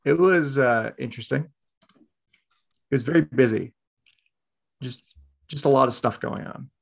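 A man hosts a casual podcast in a relaxed, conversational manner, often trailing off mid-thought. His mid-range voice has a gravelly, creaky edge. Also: mid-range voice, measured pace. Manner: laid-back, conversational, trailing off. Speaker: podcast host.

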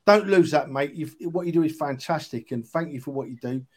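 A man's voice: fairly high pitch, brisk. A man of about sixty, chatting casually and earnestly as a football fan on a podcast, emphatic and matey. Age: 60s. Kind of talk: casual chat.

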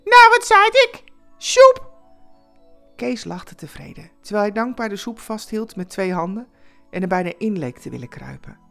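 Expressive woman narrating a story. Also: mid-range voice, fairly quick, gravelly, faint music behind. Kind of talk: scripted dramatic narration of a Christmas story.

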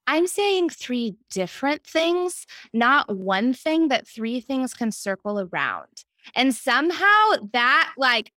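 A woman with an American accent speaking as a podcast guest in an animated, conversational interview style. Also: medium pitch, no room sound. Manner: animated, quotes self and others in anecdotes. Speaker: podcast guest, children's book editor.

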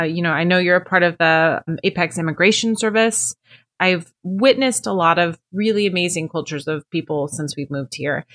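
A woman's voice: sits low, a bit bright. A woman from the US West Coast hosting a podcast interview in a friendly, upbeat way. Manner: friendly, upbeat, scripted intro. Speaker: podcast host.

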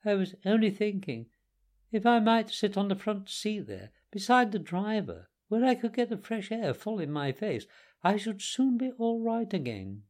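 Man acting sly toad posing as washerwoman, storybook character, meek, coaxing, polite, high lilting voice. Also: very animated, moderate pace, a little mellow. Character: wheedling toad disguised as a humble washerwoman. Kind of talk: storybook character voice in audiobook reading.

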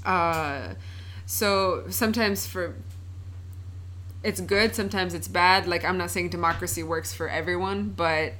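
A woman's voice: on the low side, measured pace, fairly bright tone. A young woman from Palestine, a podcast guest answering questions in an earnest, thoughtful way with frequent fillers. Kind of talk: casual interview conversation.

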